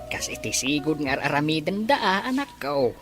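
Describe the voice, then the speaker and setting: A woman voicing a grumbling, easygoing old Ilocano grandfather in a family audio drama, husky and full of muttered interjections. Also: low voice, very animated, normal speed, music in the background. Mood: concerned.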